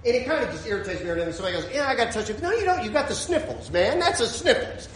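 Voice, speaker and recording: A man preaching a sermon, his voice swinging from shouted peaks to a hushed storytelling tone. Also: very high-pitched, big pitch swings, rapid-fire, echoey room, a little hiss or hum. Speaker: pastor.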